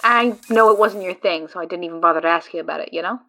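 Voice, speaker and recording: A woman's voice: on the low side, fast, bright, forceful tone, soft background music, poor audio. A woman playing a brash, teasing and loyal friend who reassures and boasts. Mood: chatty. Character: Rizara, confident loyal friend and card gamer. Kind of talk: fan audio drama acting.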